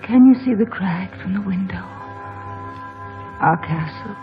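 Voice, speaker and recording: A woman's voice: mid-range voice, measured pace, lightly acted. An actress playing a dying, lovesick young woman with wistful, theatrical delivery in an old-time radio drama. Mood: fading, loving. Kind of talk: 1940s-50s radio drama acting. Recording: muffled old radio broadcast with music.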